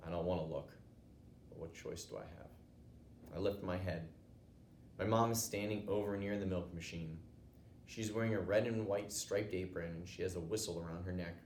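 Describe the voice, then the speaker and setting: Low-voiced American man reading a children's book. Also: moderate pace, a little mellow. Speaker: teacher reading aloud. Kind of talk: children's storybook narration. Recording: roomy echo, noticeable background noise.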